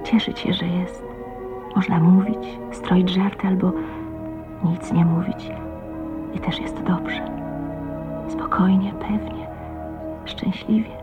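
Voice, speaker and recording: An actress playing a shy, tender, anxious young wife in a radio drama, speaking in a breathy, hesitant, intimate way. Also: female voice, lowish voice, steady tone, takes it slowly, long pauses, over loud music. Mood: tender, content. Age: young adult. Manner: breathy, hesitant, emotive, often half-whispered. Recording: dull, muffled old radio recording.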